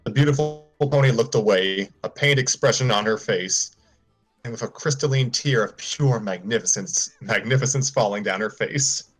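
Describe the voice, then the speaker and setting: A man's voice: on the low side, moderate pace, a bit bright, husky, soft background music, rough recording. A man narrating a story aloud informally, sometimes stumbling and repeating phrases. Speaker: story reader on a livestream.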